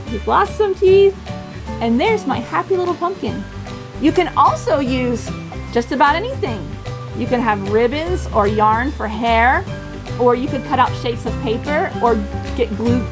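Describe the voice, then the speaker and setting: Woman, high-pitched, very animated intonation, children's storytime host, expressive, simple phrasing, music behind. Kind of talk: children's storybook reading and craft chat.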